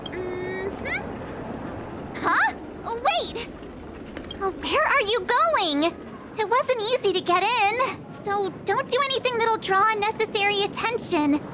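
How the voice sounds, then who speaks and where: A girlish young game heroine. Her voice is very high and animated, taken at a slow pace with bubbly earnestness. Music plays behind her. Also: noisy background, exaggerated voice. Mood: earnest, flustered.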